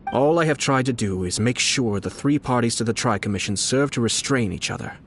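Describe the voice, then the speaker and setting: An actor playing a composed, shrewd young nobleman in a game, courteous and measured, with polished formal speech and a quietly scheming, teasing air. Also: medium pitch, a little hiss or hum, close to an everyday voice. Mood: calm, calculating. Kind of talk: scripted game dialogue.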